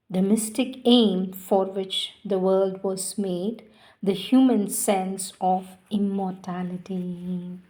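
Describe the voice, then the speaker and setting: Slow, reverent woman reciting devotional verse. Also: mid-range voice, darkish tone. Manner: measured, reverent reading with long pauses between phrases. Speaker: devotional reader.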